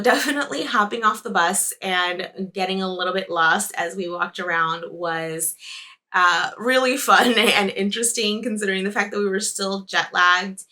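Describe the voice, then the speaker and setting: Bright-voiced chatty woman podcaster. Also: fairly low pitch. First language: Spanish. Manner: chatty, rambling, with frequent laughs and self-corrections. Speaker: podcast host. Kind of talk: casual travel recap.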